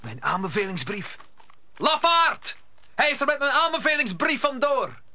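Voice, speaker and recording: An actor playing a brave, hot-headed young would-be musketeer in a radio drama, indignant and challenging. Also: male voice, very high voice, very animated, normal speed, bright, forceful tone, full character voice. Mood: outraged.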